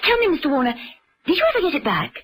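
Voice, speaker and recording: An actress playing a poised, persistent English lady investigator in a radio drama, husky and breathy, asking polite, pointed questions. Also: high-pitched, huge pitch swings, rapid-fire, a bit bright, exaggerated voice. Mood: probing.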